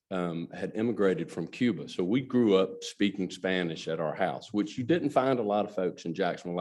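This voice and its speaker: A middle-aged man giving an earnest luncheon speech as a company president. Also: deep voice. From Alabama, USA. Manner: earnest storytelling, addresses audience directly. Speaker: guest speaker, company president.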